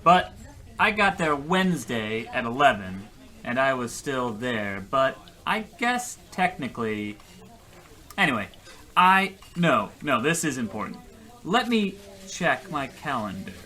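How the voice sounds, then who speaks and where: An actor playing a self-important, insecure average guy who narrates his own life in a halting, self-correcting way, in a parody radio play. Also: mid-range voice, very animated, pushed and bright. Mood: rambling, flustered. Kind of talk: parody old-time radio play monologue.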